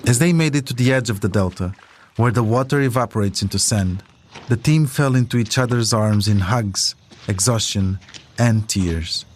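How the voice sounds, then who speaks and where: A man's voice: fairly low pitch, normal speed, a little hiss or hum. A man narrating a scripted documentary podcast as its storyteller.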